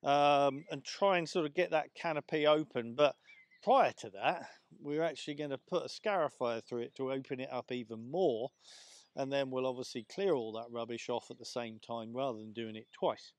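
A man's voice: medium pitch, normal speed, no room sound. Chatty man outdoors, dry sound. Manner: chatty, rambling with fillers. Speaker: lawn care presenter. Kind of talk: casual outdoor demonstration. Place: outdoors in a large garden. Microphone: clip-on lapel mic.

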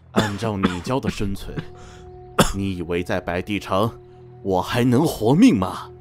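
A man's voice: sits low, slow pace, faint music behind. A man playing a cold, menacing general of ancient China in a costume radio drama, commanding and taunting. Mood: taunting, bitter.

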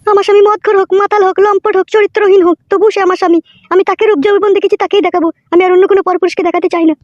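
A woman's voice: very high-pitched, flat delivery, very fast, dry recording. A woman voicing an emphatic, plain-spoken wronged young wife in a comic cartoon, on a dull recording. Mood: firm, reproachful. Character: shrill wronged young married village woman, wary then yielding. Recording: dull lo-fi audio with music.

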